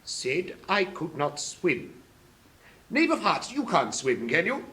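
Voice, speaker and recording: A woman's voice: fairly low pitch, big pitch swings, slow pace, a bit bright, voice pushed up, heavily acted. A woman voicing a muddled, self-important old King in a storybook reading, with pompous, flustered manner and sudden shifts from barked orders to muttering. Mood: musing.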